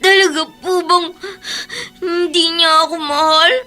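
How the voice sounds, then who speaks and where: A tiny, very high and bright child's voice, plaintive and tearful with a Filipino accent. The child speaks slowly and politely, pleading for affection in a radio drama. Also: steady tone, faint music behind, full character voice. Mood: pleading, sad. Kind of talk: Tagalog radio drama acting.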